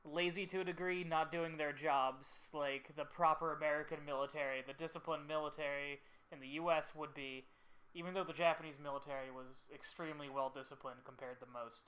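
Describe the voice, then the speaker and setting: Male host, somewhat high voice, very bright forceful tone, casual film commentary, halting delivery. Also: steady tone, low-quality recording.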